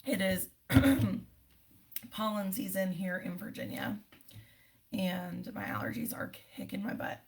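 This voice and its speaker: Hoarse woman chatting casually. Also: medium pitch, unhurried, pauses often, darkish tone. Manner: chatty, many ums, clears throat often. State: hoarse, losing her voice. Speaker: direct sales consultant. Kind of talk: casual how-to video.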